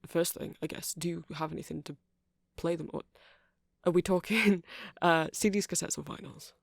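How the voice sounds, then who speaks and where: A man playing a nerdy, friendly vampire who keeps a music shop, rambling gently and hesitantly in a casual ASMR roleplay, with a creaky, soft-spoken voice. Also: on the high side, fairly even intonation, moderate pace, no room sound, clean studio sound. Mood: helpful, hesitant.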